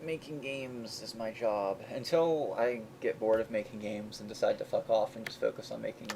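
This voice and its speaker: A man's voice: lowish voice, steady tone, moderate pace, vocal fry, a little mellow. Rambling young man with a faint hiss. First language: English. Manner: rambling, self-correcting, laughs often. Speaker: indie game developer. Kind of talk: casual chat.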